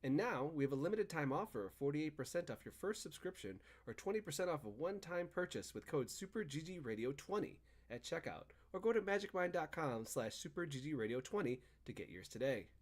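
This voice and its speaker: A man's voice: a little high, very animated, a little fast, hardly any pauses. A man hosting a podcast, talking in casual banter and chatty ad reads with an emphatic, swinging delivery. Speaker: podcast and stream host.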